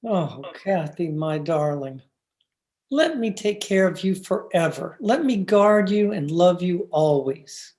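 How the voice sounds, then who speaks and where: An actor playing a gentle, adoring young gentleman in a radio drama, tender, earnest and reassuring. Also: mid-range voice, lively intonation, slow pace. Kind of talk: old-time radio drama acting.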